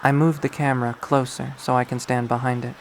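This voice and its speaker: A man reading a visual novel aloud as dramatic first-person narration, in a measured way. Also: mid-range voice, little pitch movement. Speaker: game player reading aloud. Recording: faint background noise.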